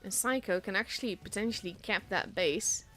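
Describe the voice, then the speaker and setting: A woman's voice: mid-range voice, normal speed. Woman, Dutch accent, young adult, esports caster, chatty, self-deprecating, faint background music. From Netherlands. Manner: chatty, self-deprecating, excitable at big plays. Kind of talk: solo game commentary.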